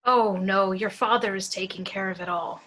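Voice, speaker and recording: A woman's voice: mid-range voice, steady tone, normal speed, a bit bright, natural voice, low-quality recording. A woman at a home table read playing a kindly, nervous man in his seventies who runs a funeral home, polite and hesitant. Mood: hesitant, concerned. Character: kindly, nervous, hushed 73-year-old male funeral director.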